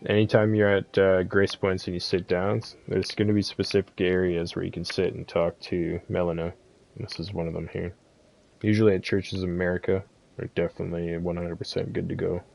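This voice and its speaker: A man's voice: sits low, level tone, fairly quick, vocal fry, a little mellow. A man giving a casual, laid-back, rambling walkthrough of a video game as a streamer.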